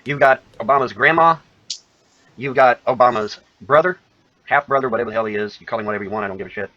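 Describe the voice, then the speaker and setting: A man's voice: mid-range voice, quick pace, no echo. A man giving a rambling, folksy commentary to his viewers, self-assured and calling them folks. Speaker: commentator in a played video clip. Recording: lo-fi dull sound.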